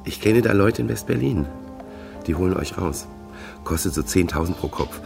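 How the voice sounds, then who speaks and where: Gravelly, very low smooth-talking fixer. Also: male voice, lively intonation, moderate pace. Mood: persuasive, assured. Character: confident East German escape helper, a man. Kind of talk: German radio crime drama acting. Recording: music behind the voice.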